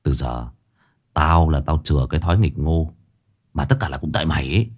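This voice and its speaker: A man's voice: rumbling low, fairly quick, a little mellow, pitched below the performer's own voice. A man playing a young male exorcist who talks in a wry, self-mocking, casual way. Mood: grumbling.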